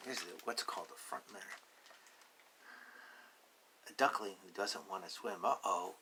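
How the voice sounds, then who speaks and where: A man's voice: sits low, normal speed, background noise. A man reading a picture book aloud to young children, adding playful, simple explanations in casual asides.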